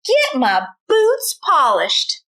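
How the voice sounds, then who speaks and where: A woman voicing mean young cowboy stepbrothers in a storybook, with a Texas accent and a loud, taunting, commanding manner. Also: high voice, wildly swooping pitch, drawn-out words, heavily acted. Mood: bossy.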